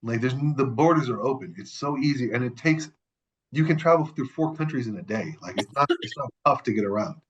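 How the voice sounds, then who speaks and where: A man's voice: mid-range voice, brisk, highs cut off. A man from the Middle East, a podcast guest, telling personal stories in casual conversation, stressing points by repeating words and often restarting his sentences. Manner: frequent restarts and self-corrections.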